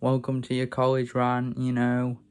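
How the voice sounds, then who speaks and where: A young man from England, hoarse from a sore throat, rambling and grumbling in a casual vlog rant. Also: mid-range voice, completely flat delivery, moderate pace, a little mellow. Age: young adult. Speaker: vlogger.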